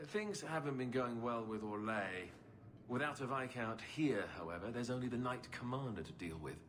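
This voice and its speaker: A man's voice: medium pitch, animated, moderate pace, a bit bright, close to an everyday voice. An actor playing an affable, self-deprecating king in a video game, with a wry, informal manner. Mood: candid, dry. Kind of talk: video game cutscene acting.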